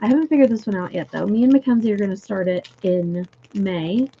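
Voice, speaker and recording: A young woman chatting casually with viewers on a livestream from home, giggly and full of fillers, her voice going a little hoarse. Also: medium pitch, a little fast, dull audio, slight background noise. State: losing her voice. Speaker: livestream host. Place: home room.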